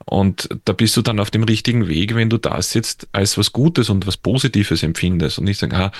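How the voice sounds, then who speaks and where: A man's voice: on the low side, brisk, no echo, studio quality. A man from near Vienna with an Austrian accent, talking in a casual conversation and often restarting his sentences. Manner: hesitant, many restarts and fillers. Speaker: podcast guest.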